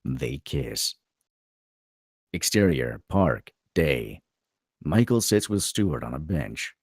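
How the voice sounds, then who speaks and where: Very deep, gravelly male narrator. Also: big pitch swings, slow, no echo, clean studio sound. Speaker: narrator reading stage directions. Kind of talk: scripted narration at a film table read.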